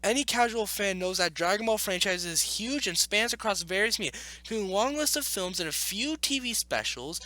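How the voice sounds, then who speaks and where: A man with a high-pitched, bright voice hosts a casual reaction video. His delivery swings up and down a lot as he argues his points emphatically and circles back to repeat them. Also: animated, brisk, few pauses, no echo. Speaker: video host reacting to an article. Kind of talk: opinionated casual commentary with read-aloud passages.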